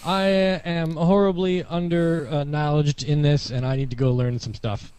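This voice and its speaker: A man's voice: a little high, little pitch movement, unhurried, a little mellow, clear, resonant tone, no room sound. A man chatting casually as a panel guest, wry and self-deprecating. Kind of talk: casual group chat.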